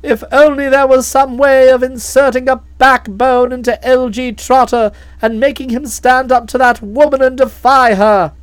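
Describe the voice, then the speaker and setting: A woman playing a forceful, excitable older aunt. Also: high voice, moderate pace, hardly any pauses, clean tone, no echo, voice pushed down, close to an everyday voice. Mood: agitated. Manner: loud, emphatic, impatient.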